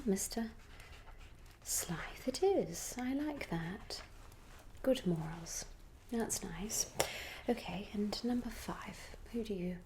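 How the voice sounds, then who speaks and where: A woman voicing a genteel, gossipy Regency lady in a soft ASMR roleplay whisper, musing politely and hesitantly. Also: medium pitch, a little hiss or hum. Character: genteel, gossipy young Regency lady planning a matchmaking guest list.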